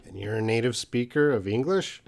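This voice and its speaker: A man's voice: medium pitch, lively intonation, moderate pace. A man hosting a live-stream English Q&A, reading out viewer comments in a casual, hesitant way with many fillers. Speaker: host of English lessons.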